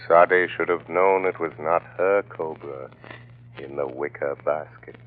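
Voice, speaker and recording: An actor playing a mysterious, calm and menacing avenger in a slow, taunting 1930s radio style. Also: male voice, very deep voice. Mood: grave, menacing. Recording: tinny, noisy old radio recording.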